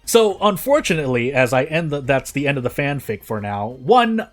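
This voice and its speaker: A man's voice: medium pitch, very animated, moderate pace, soft background music. A man doing casual gaming video narration and commentary in a rambling, self-deprecating way. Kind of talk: casual gameplay commentary and fanfic reading.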